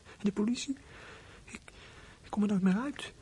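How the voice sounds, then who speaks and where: A performer playing a small troubled runaway boy in a radio drama, husky and hesitant, speaking in plain colloquial phrases. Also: medium pitch, normal speed, dark tone. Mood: panicked, hushed. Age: child character. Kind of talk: radio drama acting with whispered inner monologue.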